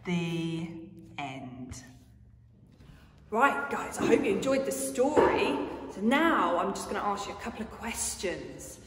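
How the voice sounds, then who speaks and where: A young woman who reads and talks to small children as an early years educator, with expressive, drawn-out emphasis. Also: medium pitch, a little hiss or hum. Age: young adult. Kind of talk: children's story reading and quiz. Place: carpeted nursery classroom, indoors. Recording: echoey room with long reverb.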